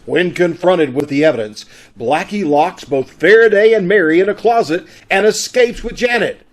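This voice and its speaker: High-pitched male radio drama announcer. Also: normal speed. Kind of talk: scripted radio drama narration. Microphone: video call.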